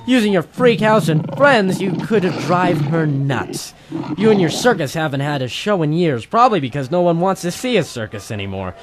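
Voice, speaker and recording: A man's voice: fairly high pitch, sing-song, moderate pace, very noisy. A man playing a weary, wry private detective, narrating and talking tersely in a noir radio drama. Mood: accusing. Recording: music playing behind the voice.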